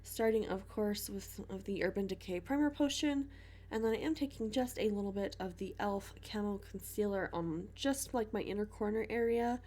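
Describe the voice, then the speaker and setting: A woman's voice: medium pitch, moderate pace, warm tone. A young woman with a stuffy cold, chatting through a makeup tutorial voice-over with many "um" fillers. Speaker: beauty vlogger.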